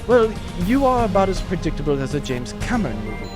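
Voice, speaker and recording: A man plays a sarcastic starship captain in a comic space audio drama. His voice sits high, with a dry, faltering delivery. Loud music runs underneath. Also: sing-song, fast. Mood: wry. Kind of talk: comedic audio drama acting.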